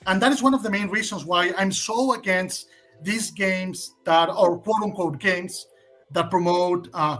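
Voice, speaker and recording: A man's voice: sits high, sharp tone. A man in his forties from Mexico, a native Spanish speaker with a Mexican Spanish accent, giving opinionated answers as a guest on a casual stream, with frequent fillers and restarts.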